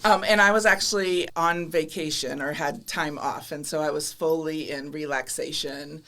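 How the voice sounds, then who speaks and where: A woman's voice: low-pitched, fairly even intonation, moderate pace, a bit bright. Candid middle-aged American woman in conversation. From Midwestern United States (Minnesota, Iowa). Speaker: podcast guest, government commissioner. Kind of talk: conversational interview.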